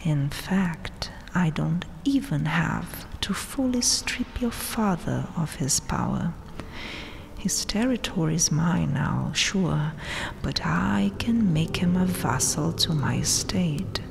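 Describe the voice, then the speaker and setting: A woman voicing a haughty, gloating vampire queen in a breathy, taunting whisper for an ASMR roleplay. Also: lowish voice, lively intonation, heavily acted. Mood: menacing, commanding. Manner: taunting, mock-sweet, haughty, drawn-out delivery. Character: domineering, gloating vampire queen, regal conqueror. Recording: long cavernous reverb, faint hiss. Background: dark tomb ambience.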